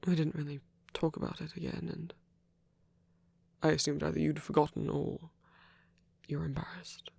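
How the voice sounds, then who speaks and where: A man performing a soft ASMR roleplay as a lonely, gentle old vampire priest, speaking haltingly and tenderly in formal words, breathy and often near a whisper. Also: fairly high pitch, little pitch movement, no echo, full character voice. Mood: hesitant, embarrassed.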